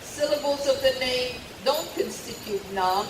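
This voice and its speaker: Woman devotional teacher, earnest and emphatic, mid-pitched, distant mic in an echoey hall with steady noise. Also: unhurried. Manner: earnest, emphatic, repeats key points. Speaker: religious teacher. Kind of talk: devotional lecture to a retreat audience. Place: retreat hall.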